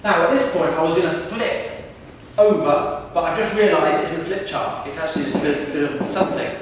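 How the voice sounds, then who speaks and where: Guy lecturing students, kinda high voice, sounds far off in a big echoey hall, faint background hum. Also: fairly quick. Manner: lively, engages the audience with questions. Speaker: professor giving a lecture to students. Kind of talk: public lecture.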